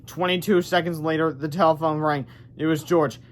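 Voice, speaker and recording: A man reads a children's book aloud. His voice sits a bit higher than most men's as he narrates the story. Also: fairly even intonation, moderate pace. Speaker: storybook reader.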